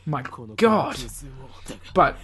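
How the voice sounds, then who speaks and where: A man's voice: a little high, lively intonation, drawn-out words, a bit bright, full character voice. A man voicing a paranoid, grandiose young mad scientist in a theatrical, declaiming way for a visual novel. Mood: grandiose, defiant.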